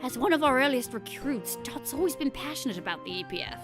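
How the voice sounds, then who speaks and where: A woman's voice: sits high, expressive, fast, music in the background. A woman voicing a worried, kindly male penguin inventor and director in a game story, hesitant and apologetic. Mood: pensive.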